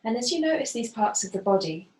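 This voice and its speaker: A woman gently guiding a body scan as a yoga facilitator, unhurried and leaving pauses. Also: on the high side, big pitch swings. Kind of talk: guided body scan meditation instruction. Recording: roomy, echoing voice.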